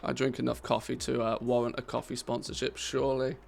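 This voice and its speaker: British man, live game streamer, casual chatter, laughs easily, creaky gravelly tone. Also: medium pitch, flat delivery, fairly quick. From Britain. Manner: chatty, answers viewer questions, laughs easily.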